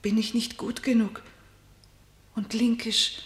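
A woman playing a fearful, submissive and tender sister in a classical tragedy radio play, speaking measured, solemn verse. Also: a little high, level tone. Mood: sorrowful. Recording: echoey room sound.

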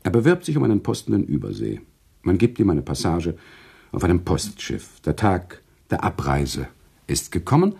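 A sympathetic author telling a story in a radio drama. His low, mellow voice has a gravelly edge, and he narrates deliberately and expressively. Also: huge pitch swings, heavily acted. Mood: narrating. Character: thoughtful, sympathetic male storyteller, the author himself. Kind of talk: German radio play narration in dialogue.

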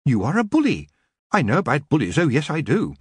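A man voicing a calm, polite young man who stands firm in a storybook, measured and courteous. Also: fairly high pitch, slightly rough, dry recording, clean studio sound, voice pushed up, heavily acted.

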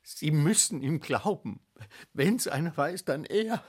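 An actor in a German radio play voicing an anxious, insistent bar manager as a patient, husky and pleading with agitation. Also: male voice, fairly high pitch, animated, slow pace, full character voice. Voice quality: husky, breathy.

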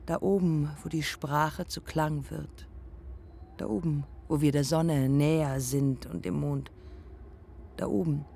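Radio drama: embittered ghostly woman pilot, terse poetic fragments, very unhurried, fry in the voice. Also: sits low, dark tone, a little hiss or hum, exaggerated voice. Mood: wistful, insistent. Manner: measured, terse, fragmented phrasing with deliberate pauses. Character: proud, embittered dead pioneer woman aviator, haunted by rejection. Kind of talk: poetic German radio play acting.

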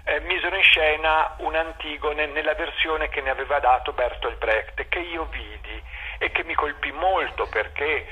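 An older man with a very husky, rough-edged voice that still has a bright, forward edge. He speaks as a director in a thoughtful interview, pausing and searching for words, over what sounds like a phone line. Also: on the high side. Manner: halting, searching for words with long hesitations. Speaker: theatre director being interviewed. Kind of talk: reflective interview.

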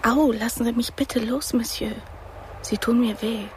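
A woman's voice: fairly high pitch, steady tone, measured pace, darkish tone, a little hiss or hum, close to an everyday voice. An actress playing a frightened, evasive woman in a noir radio play, hesitant and guarded, with a tired, toneless voice. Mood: weary, frightened. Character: frightened, weary young blonde woman under suspicion, evasive.

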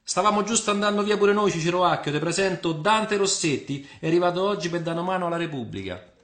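A man playing an earnest, sociable young Roman patriot in an audio storybook, speaking in a loud, friendly, animated way. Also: high voice, fast, roomy sound, voice pushed up.

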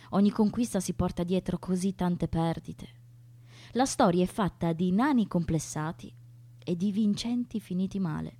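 A woman giving a measured, solemn theatrical reading as a stage narrator. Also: fairly low pitch, dark tone. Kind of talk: scripted theatrical reading of history and reflection.

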